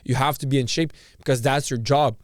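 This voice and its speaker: A man's voice: medium pitch, fairly even intonation, a little fast, no echo, clean studio sound. A man from Serbia hosting a podcast, giving a blunt, profane solo monologue full of repeated words and restarts. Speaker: podcast host.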